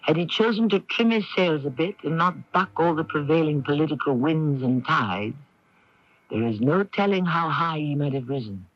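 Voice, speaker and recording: A man's voice: on the high side, expressive, moderate pace, no room sound. A man hosting and narrating a radio drama in a theatrical, portentous, scripted style. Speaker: host and narrator. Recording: muffled AM radio broadcast tape.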